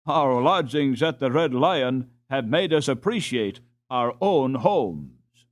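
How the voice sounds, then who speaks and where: A man's voice: mid-range voice, expressive, slow pace. A man voicing a weary but eager boy leader who heartily rallies his friends in a storybook. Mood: relieved, eager. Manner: exclaiming, hearty.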